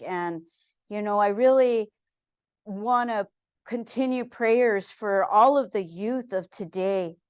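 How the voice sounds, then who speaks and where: A woman in her fifties from Texas giving an earnest, heartfelt museum lecture, pausing often with fillers as she speaks. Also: on the high side, clear, resonant tone, no room sound, clean studio sound. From San Antonio, Texas. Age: 50s.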